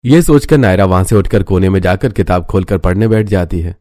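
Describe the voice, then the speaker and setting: A man's voice: low-pitched, a little fast, mellow. A man reading scripted narration as the narrator of a story.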